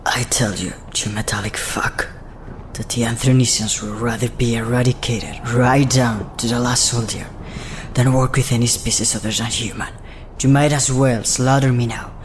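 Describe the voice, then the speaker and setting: A captive rebel soldier acted by a man, proud and bitterly defiant. He has a medium-pitched voice and spits his words with contempt. Faint noise sits behind him in this sci-fi radio play. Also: fairly even intonation, moderate pace, lightly acted. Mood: bitter defiance. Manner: spitting, contemptuous. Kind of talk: sci-fi audio drama acting.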